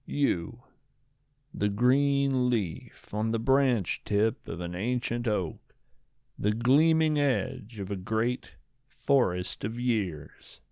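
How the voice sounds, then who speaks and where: A man's voice: lowish voice, lively intonation, very slow, creaky, mellow, no room sound, studio quality. A man hosting a storytelling podcast with scripted, poetic narration, musing and savoring his words.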